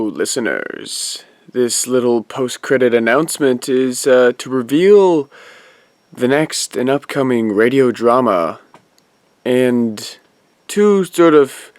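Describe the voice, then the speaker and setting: A man giving an informal announcement as an audio drama producer, in halting, deliberate phrases. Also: medium pitch, no echo. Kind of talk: informal post-credit announcement.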